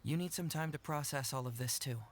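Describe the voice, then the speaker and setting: A man's voice: fairly high pitch, brisk, slight background noise, natural voice. A man playing a friendly, confident and reassuring young investigator in a video game.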